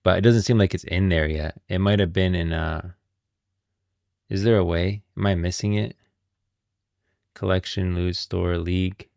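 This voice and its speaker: A man's voice: low voice, steady tone, measured pace, vocal fry, pauses often, darkish tone, no room sound, clean studio sound. A man hosting a casual live stream, rambling and thinking aloud. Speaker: streamer, host.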